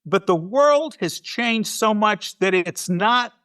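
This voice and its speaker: An American man talking casually and reflectively as an interview guest, often halting and repeating words as he thinks. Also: sits high, animated, fairly bright tone, clear, resonant tone. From United States. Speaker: podcast guest, storyteller and show organizer.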